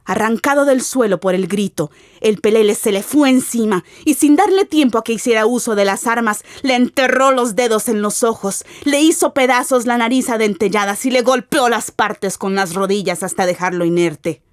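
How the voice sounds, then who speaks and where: A woman's voice: on the high side, normal speed, few pauses, no echo, studio quality. A woman giving an ominous, theatrical narration of a literary radio drama.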